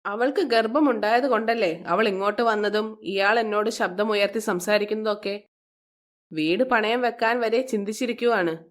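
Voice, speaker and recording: A woman's voice: medium pitch, normal speed, natural voice. A woman voicing a spiteful, scheming middle-aged stepmother in a moral story, scolding and contemptuous.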